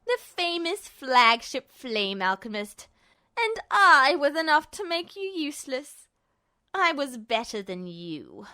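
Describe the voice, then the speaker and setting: A woman's voice: high-pitched, very animated, takes it slowly, pushed and bright, full character voice. A woman voicing a bitter, unhinged male villain, smug and sneering, in an audiobook story. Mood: gloating. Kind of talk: audiobook-style character acting.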